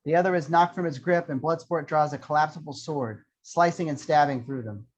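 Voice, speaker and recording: A man's voice: fairly high pitch, a little fast. A man reading screenplay action lines as scripted narration at an amateur table read. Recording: dull lo-fi sound.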